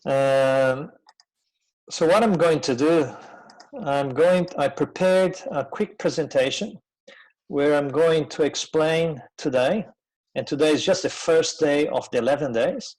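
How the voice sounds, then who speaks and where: A middle-aged man from Brazil, a native Portuguese speaker with a Brazilian accent, gives an informal webinar presentation in a hesitant, conversational way with frequent pauses. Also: on the high side, darkish tone, rough recording. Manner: hesitant, conversational, pausing often.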